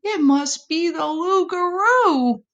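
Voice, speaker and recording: A woman's voice: very high-pitched, animated, takes it slowly, fairly bright tone, lo-fi sound, voice pushed up, full character voice. A woman voicing a timid but boastful little possum in a children's storybook, exclaiming dramatically. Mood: frightened gasping.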